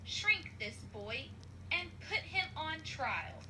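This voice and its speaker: A woman's voice: high voice, very animated, slow pace, bright, forceful tone, slight background noise, voice pushed up, exaggerated voice. A woman voicing a stern but gracious female ant queen in a storybook, with a commanding, formal manner. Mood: indignant, commanding.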